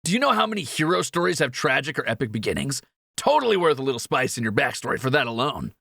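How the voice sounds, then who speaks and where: A man's voice: mid-range voice, very animated, fast talker, gravelly, bright, forceful tone, no room sound, studio quality, full character voice. A man playing a greedy, cheeky young thief in a fantasy audio roleplay, teasing and flippant. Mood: playful mocking.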